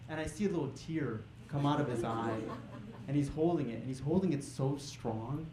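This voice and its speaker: A young man doing a stand-up comedy set on a club stage, hyping the crowd and then dropping into deadpan setups. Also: medium pitch, moderate pace. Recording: echoey club with crowd noise.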